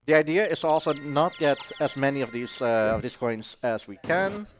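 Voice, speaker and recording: A man's voice: medium pitch, moderate pace, music in the background. A man giving casual, joking live commentary as a speedrunner, chatty throughout.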